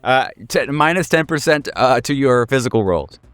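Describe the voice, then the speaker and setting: A man's voice: medium pitch, expressive, normal speed, fairly bright tone, dry recording. A man game mastering a horror tabletop game in casual, improvised narration, joking and swearing freely. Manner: profane, joking.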